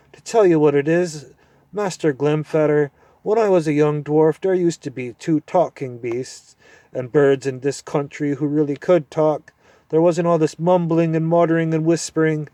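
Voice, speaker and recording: A man voicing a deaf, irritable old male dwarf who grumbles loudly and impatiently, in a storybook reading. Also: fairly high pitch, dry recording, pitched above the performer's own voice, lightly acted.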